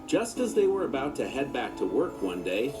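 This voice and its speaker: A man narrating a children's storybook from a script in an expressive storytelling manner. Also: medium pitch, normal speed, over loud music.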